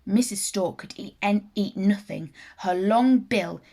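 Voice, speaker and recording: A woman reads a children's fable aloud with expressive, deliberate delivery. Her voice is a little husky and creaky, and she takes her time over the phrases. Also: medium pitch. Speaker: teacher reading a story aloud. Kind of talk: children's story narration.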